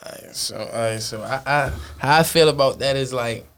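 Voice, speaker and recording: A man's voice: mid-range voice, normal speed, a bit bright, slight background noise. A young man, a rapper being interviewed, speaking in a laid-back way and often asking "you feel me". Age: young adult. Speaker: rapper guest. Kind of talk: casual interview talk.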